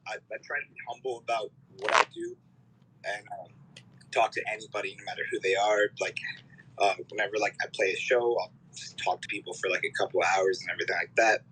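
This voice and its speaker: Rambling young man with a bright voice. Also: on the low side, fast talker, breathy edge, poor audio. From Canada. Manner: rambling, swearing, filler-heavy. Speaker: musician guest. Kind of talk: casual interview chat.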